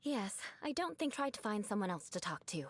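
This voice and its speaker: A woman's voice: mid-range voice, very animated, fairly quick, breathy edge. Proud, formal aristocratic lady knight. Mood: calm, resigned. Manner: formal, archaic aristocratic speech. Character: proud aristocratic young female knight, haughty yet resigned. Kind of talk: scripted video game voice acting.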